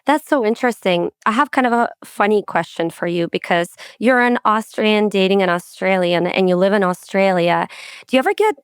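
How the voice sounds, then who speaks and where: A woman hosting a podcast, introducing her guest and asking friendly, measured questions. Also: mid-range voice, smooth, dry recording, studio quality. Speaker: podcast host. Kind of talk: podcast interview.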